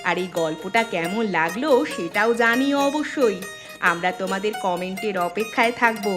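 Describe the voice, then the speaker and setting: A woman's voice: mid-range voice, moderate pace, over music, natural voice. A woman voicing a young village daughter-in-law in a cartoon, forgiving and resolute, speaking in an earnest, emphatic way. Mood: instructive, friendly. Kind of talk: Bengali moral cartoon dialogue.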